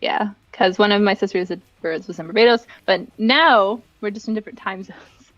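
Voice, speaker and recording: A woman's voice: mid-range voice, normal speed, a bit bright, no room sound, faint music behind. Chatty young woman, slightly dull stream audio. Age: young adult. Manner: giggly, chatty, rambling. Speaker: livestream guest, event director and dancer. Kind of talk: casual livestream chat, telling personal stories.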